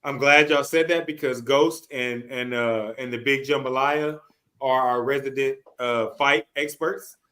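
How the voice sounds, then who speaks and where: A man's voice: mid-range voice, steady tone, a little slow, fairly bright tone. A man hosting a sports podcast in casual chat, talking in a slangy, profane way.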